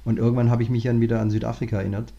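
A man, a management consultant, talking through his career in a relaxed interview, thinking aloud with many restarts. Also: medium pitch, racing, vocal fry, lo-fi sound. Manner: thoughtful, many fillers and restarts. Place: lives in Cape Town, remote interview.

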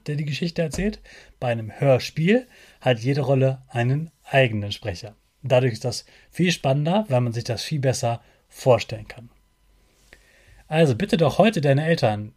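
A man's voice: mid-range voice, a little mellow, breathy edge. A man hosts a children's podcast, talking directly to the child in simple, encouraging, motivational words.

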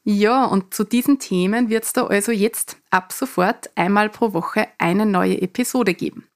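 A middle-aged woman from Lower Austria with a Mostviertel dialect accent, earnest, hosting a solo coaching podcast. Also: unhurried. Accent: Mostviertel Austrian dialect with a touch of Salzburg. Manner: earnest, speaks in dialect rather than standard German.

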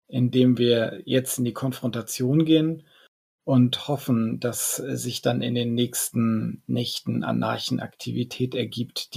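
A man playing a calculating vampire sorcerer in an audio drama, speaking in formal, wordy sentences broken by deliberate pauses. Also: medium pitch, gravelly, a little mellow, husky, heavily acted. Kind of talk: hobby audio drama dialogue.

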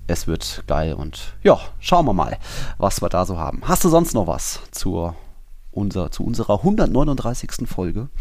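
Male podcast host, slightly deep voice, informal sports chat, very little room sound. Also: sing-song, normal speed, darkish tone, no room sound, clean studio sound.

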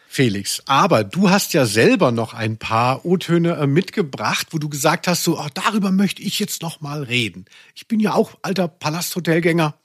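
A man's voice: fairly high pitch, dry recording, studio quality. A man chatting casually and humorously as a podcast host. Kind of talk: casual humorous chat about audio plays.

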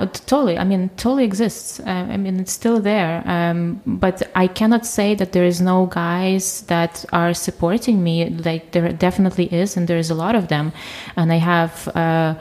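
A woman's voice: fairly low pitch, moderate pace. A young adult woman from Ukraine, a guest in an interview, speaking casually with many fillers and restarts. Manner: relaxed, lots of fillers and restarts.

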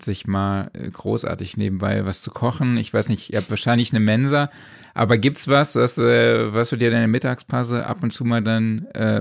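A man's voice: on the low side, creaky, no echo, studio quality. A man co-hosting a podcast in a casual, conversational style. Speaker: podcast co-host. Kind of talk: casual chat.

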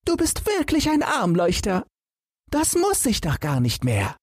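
A man's voice: wildly swooping pitch, unhurried, husky, no echo, clean studio sound, full character voice. A man voicing a clever, cheeky young storybook girl in a playful, taunting manner. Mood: mocking. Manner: high-pitched playful taunting.

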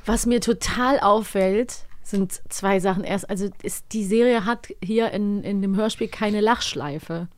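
A woman's voice: mid-range voice, unhurried, few pauses, dry recording. Chatty woman podcaster, dry close sound. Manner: conversational, often agreeing. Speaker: podcast co-host. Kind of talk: casual chat.

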